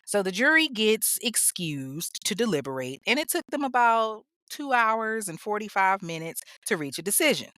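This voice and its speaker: A woman's voice: medium pitch, very animated, moderate pace, few pauses, sharp tone, no echo, studio quality. A woman hosting a true crime show, telling the story in a casual, blunt way with swearing and laughing asides. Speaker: true crime podcast host.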